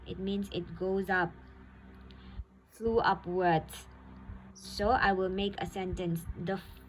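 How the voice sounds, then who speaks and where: Slow, patient schoolteacher. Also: female voice, mid-range voice, flat delivery, a little hiss or hum, rough recording. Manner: slow and deliberate, repeats each definition, ends phrases with "okay?". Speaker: school teacher. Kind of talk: vocabulary lesson for young pupils.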